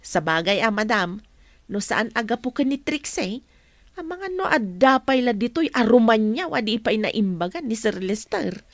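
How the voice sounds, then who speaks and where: A woman's voice: on the high side, lively intonation, a little slow, dry recording. A woman acting in an Ilocano radio drama as a chatty, respectful employee talking to her madam.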